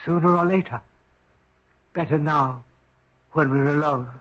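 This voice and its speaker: An actor playing a supernatural giant servant, formal, measured and deferential, in a 1970s radio drama. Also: male voice, fairly high pitch, a bit bright. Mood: calm, ominous. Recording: roomy, muffled 1970s radio broadcast.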